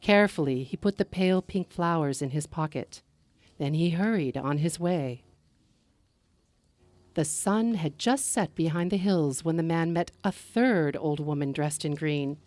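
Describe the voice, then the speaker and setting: A woman telling a story live, narrating in an expressive, measured way with dramatic delivery. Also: sits low, dry recording.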